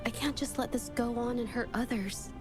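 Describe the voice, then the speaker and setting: A woman's voice: fairly high pitch, normal speed, lightly acted. A woman playing an earnest, wistful young painter in a video game, speaking sincerely and solemnly. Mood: reflective, determined. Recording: game music behind the voice.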